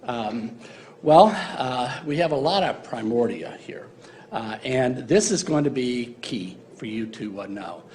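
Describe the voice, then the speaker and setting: A man's voice: medium pitch, animated, a little slow, darkish tone. A man giving a university embryology lecture in a casual, joking way, with many fillers. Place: lecture hall.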